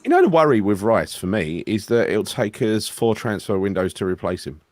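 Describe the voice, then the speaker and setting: A man's voice: fairly low pitch, sing-song, moderate pace, a little mellow, no echo. A man co-hosting a live fan stream, chatting emphatically and laughing easily, then thanking viewers as he closes the show. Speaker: co-host closing the show.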